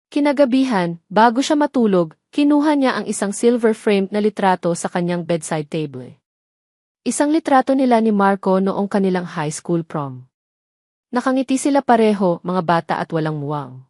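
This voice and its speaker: Female storyteller for dramatic romance audio fiction, mid-pitched, scripted delivery, very dry recording. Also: a little mellow, no echo.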